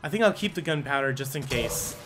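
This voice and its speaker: A man giving let's-play commentary, thinking aloud and bursting into excited exclamations. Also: medium pitch, a little fast, noisy background. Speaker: gaming commentator. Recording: game music under the voice. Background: game music.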